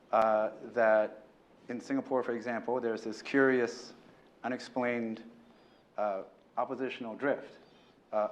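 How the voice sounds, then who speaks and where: A man speaking on an academic panel, thoughtful and hesitant, often pausing with fillers and tag questions. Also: mid-range voice, a little hiss or hum. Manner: hesitant, many fillers and "right?" tags. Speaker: panelist, former fellow.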